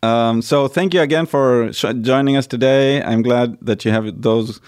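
Man from Argentina, priest host, chatty storyteller, mid-pitched voice. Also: moderate pace, a little mellow, clean tone. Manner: chatty, storytelling, many fillers and restarts. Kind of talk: casual interview.

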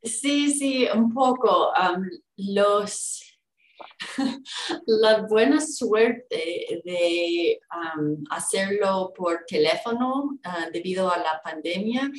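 A woman's voice: medium pitch, drawn-out words, a little hiss or hum. A woman training as a legal interpreter, chatting hesitantly with many fillers. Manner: hesitant, filler-heavy, laughs lightly. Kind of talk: casual conversation. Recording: echoey room, distant from the mic.

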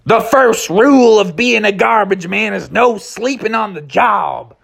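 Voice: medium pitch, animated, a little slow, creaky, a bit bright, breathy edge, pitched above the performer's own voice, heavily acted. A storyteller voicing an old cowboy horse who bosses a garbage crew in a drawling, hearty way. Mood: bossy.

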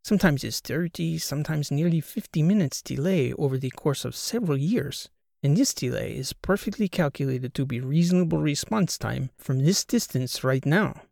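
A man's voice: a little high, animated, moderate pace, creaky, a little mellow, no echo, studio quality. A man voicing an earnest male Croatian PhD student who speaks clipped English with a Croatian accent and drops his articles. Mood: explaining.

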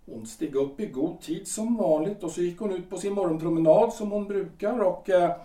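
A man's voice: high-pitched, mellow, far from the mic, lightly acted. A man playing Gustav, a hesitant lawyer and politician in his forties, who halts and hedges with many fillers. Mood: uneasy. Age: 40s. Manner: hesitant, halting, full of fillers. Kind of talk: audio drama acting. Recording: echoey room, voice off-mic.

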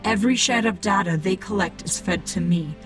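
Actress as cyborg cult priestess, imperious, cold, grave, mid-pitched, deliberate delivery, music underneath. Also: female voice, lightly acted. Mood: anguished, grave. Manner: grave, haughty, deliberate pronouncements. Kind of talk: dramatic sci-fi audio drama acting.

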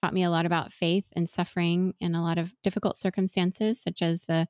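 A woman's voice: fairly low pitch, little pitch movement, brisk, a little mellow, no echo. Earnest woman, dry close sound. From Venezuela. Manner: earnest, thoughtful, with frequent restarts. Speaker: guest. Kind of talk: reflective interview conversation.

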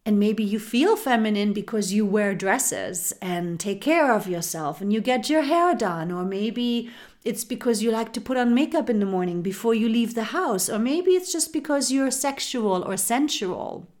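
A woman from Germany, hosting a spiritual podcast in a soft-spoken way and addressing her listeners as sisters. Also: a little high, lively intonation, moderate pace. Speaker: podcast host.

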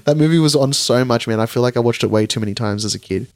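A man's voice: medium pitch, fast talker, a little mellow, no echo. Rambling, laughing podcast co-host man. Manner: rambling, laughing, heavy use of filler words. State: voice lowered after a cold. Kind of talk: casual chat.

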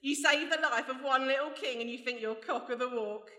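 A woman's voice: high-pitched, brisk, sharp tone. A woman acting a vengeful, gloating criminal mastermind in a radio play, with a taunting, menacing manner. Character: vengeful, gloating female villain, a gun-toting criminal mastermind. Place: stage before a live audience. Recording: echoey hall, live stage.

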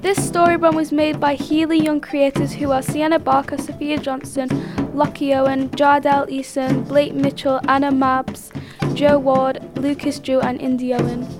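Female credits reader, high pitch, even monotone delivery, loud music underneath. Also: normal speed. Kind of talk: reading scripted credits.

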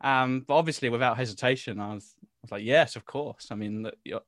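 A man being interviewed as a guest singer, chatty and quick to laugh, often restarting his sentences. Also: medium pitch, fairly bright tone, no room sound, clean studio sound. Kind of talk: casual interview chat.

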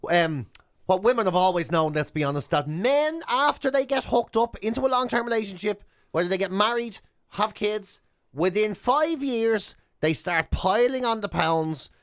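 A man's voice: sits high, expressive, normal speed, a bit bright, no room sound, clean studio sound. A man with an Irish accent hosting radio in casual comic banter, jokey and emphatic, repeating himself for effect. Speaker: radio show host.

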